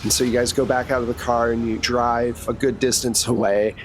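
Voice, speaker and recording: A man's voice: medium pitch, flat delivery, fairly quick, very noisy. A man narrating a tabletop game as game master, improvising in a casual, conversational way.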